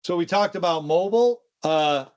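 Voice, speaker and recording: Higher-pitched man, casual tech co-host. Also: moderate pace, dull audio. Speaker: co-host of a tech discussion show. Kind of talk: casual conversational tech discussion.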